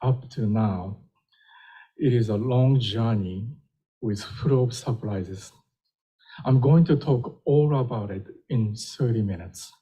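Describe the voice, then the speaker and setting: An older man gives a public lecture, gentle and wry with small pauses. His voice is low, mellow and husky. He speaks very slowly. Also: low-quality recording. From Japan. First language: Japanese. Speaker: professor giving a lecture.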